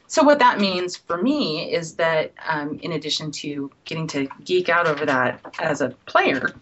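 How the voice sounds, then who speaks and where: A woman's voice: deep voice, animated, unhurried, a bit bright. A middle-aged woman, a game company editor, answering interview questions in a chatty way, restarting phrases and laughing often. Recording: dull lo-fi recording with faint noise.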